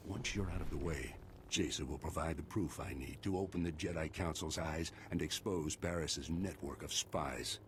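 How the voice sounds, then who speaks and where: An actor playing a grave, proud older Jedi Master in a video game, solemn, measured and raspy. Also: male voice, extremely low pitch, slight background noise. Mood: grim resolve.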